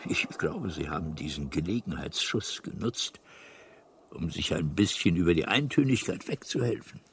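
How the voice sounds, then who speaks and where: A kindly, eccentric old alien in a German radio play. The voice is deep and gravelly, wandering slowly and hesitantly through each phrase with lively swoops. Faint noise sits behind it. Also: male voice. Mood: mysterious, mild. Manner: hesitant, meandering, swooping delivery. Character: kindly, eccentric old alien planet builder, absent-minded. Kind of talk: German radio drama acting.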